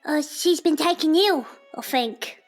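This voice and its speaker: Lady doing a super high squeaky edwardian servant boy, nervous n stammery, polite, radio drama w faint music. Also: a little slow. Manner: stammering, deferential. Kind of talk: audio drama acting.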